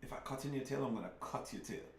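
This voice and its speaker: A man's voice: mid-range voice, fast talker, a little mellow, recorded from a distance, slight background noise. A middle-aged man co-hosting a casual sports talk show, earnestly building his argument step by step. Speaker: podcast co-host. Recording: roomy sound, mic set back.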